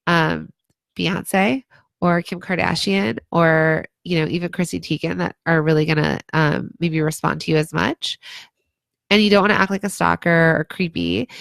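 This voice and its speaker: A hoarse woman consultant giving casual advice on a livestream, chatty, with lots of "like" and "um". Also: lowish voice, dry recording. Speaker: brand consultant.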